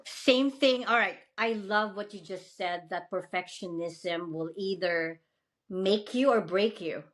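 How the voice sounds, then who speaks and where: A woman's voice: medium pitch, a little slow. A woman from the Philippines with a Filipino accent, hosting a podcast interview in casual, enthusiastic chat with many fillers. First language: not English. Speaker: podcast host.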